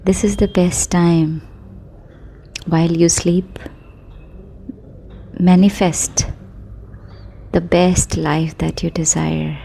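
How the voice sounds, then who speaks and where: A woman with a soft, mellow tone leads a guided sleep meditation. She speaks very slowly and soothingly, leaving long pauses between short affirming phrases. A faint ambience sits behind her voice. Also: fairly low pitch, flat delivery, vocal fry, slight background noise.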